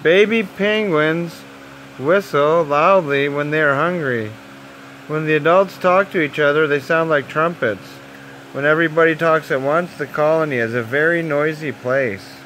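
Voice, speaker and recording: Higher-voiced man reading a bedtime story. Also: normal speed, fairly bright tone, a little hiss or hum, poor audio. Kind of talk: bedtime story narration for children.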